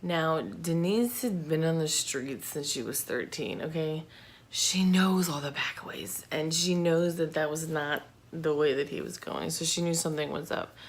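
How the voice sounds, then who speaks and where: A woman telling a true crime story in a casual, informal, slangy and halting way. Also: deep voice. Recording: clear background noise.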